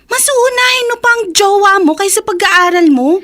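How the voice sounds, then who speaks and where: Teen schoolgirl, extremely high voice, blunt, sarcastic, slangy, Filipino accent, radio drama. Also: animated, moderate pace, fairly bright tone. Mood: exasperated. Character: blunt, scolding teenage schoolgirl best friend.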